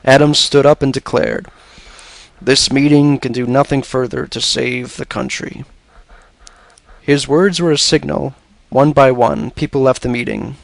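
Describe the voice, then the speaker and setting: A man reads a scripted educational narration in a measured, expository way. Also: mid-range voice, steady tone, vocal fry, dry recording, rough recording.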